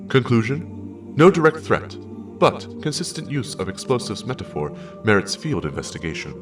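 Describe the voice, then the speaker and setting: A man's voice: medium pitch, very animated, moderate pace, natural voice. A man voicing an impassive message machine that announces transcripts and analysis in terse, official fragments. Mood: impassive, procedural. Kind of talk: scripted sci-fi system announcements. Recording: distant voice over music.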